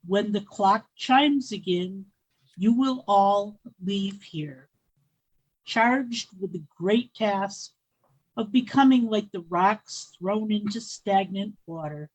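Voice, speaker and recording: A woman's voice: mid-range voice, slow, highs cut off. A woman voicing a solemn, wise female spirit in a community radio play, speaking in grave pronouncements.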